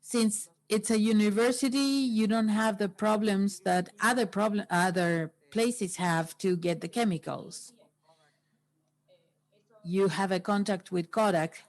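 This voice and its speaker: A woman interpreting a workshop into English, pausing often between phrases. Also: medium pitch, fairly even intonation.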